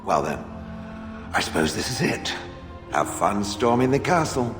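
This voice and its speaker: Deep, echoing, sardonic stone golem. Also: male voice, big pitch swings, measured pace. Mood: dryly sardonic. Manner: dry, haughty, drawling. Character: sardonic male stone golem, haughty, disdainful of squishy mortals. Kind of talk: video game voice acting. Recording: echoey reverberant voice over music.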